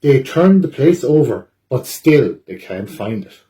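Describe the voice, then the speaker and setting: A man's voice: medium pitch, very animated, a little slow, warm tone. Man reading a children's storybook expressively. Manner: dramatic, rhyming storybook delivery. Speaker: library storytime reader. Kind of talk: expressive children's picture book read-aloud.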